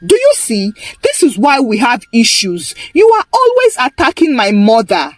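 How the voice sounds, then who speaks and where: A woman's voice: a little high, wildly swooping pitch, moderate pace, dry recording. A woman voicing a weary, angry young Nigerian wife in a story, heated and accusing, with a Nigerian accent. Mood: angry, exhausted.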